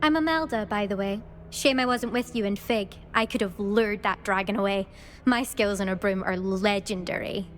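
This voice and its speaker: A woman's voice: mid-range voice, moderate pace, soft background music, lightly acted. A woman playing a boastful, blunt, competitive teenage witch in a video game. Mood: smug. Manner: cocky, blunt, dismissive. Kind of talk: scripted video game dialogue.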